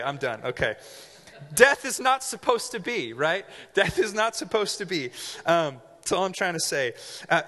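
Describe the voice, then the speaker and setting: Young male pastor, bright forceful voice, slightly high, casual sermon, reverberant hall. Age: 20s. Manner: conversational, joking asides, frequent "okay?" check-ins.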